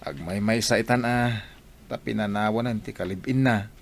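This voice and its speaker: A man's voice: on the low side, steady tone, moderate pace, creaky. A man playing a concerned, teasing village friend in a Filipino radio drama. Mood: probing.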